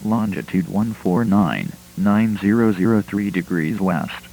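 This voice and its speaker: A man's voice: low-pitched, vocal fry, darkish tone, slight background noise, rough recording. A man reading a scripted civil emergency alert in a formal, impersonal manner.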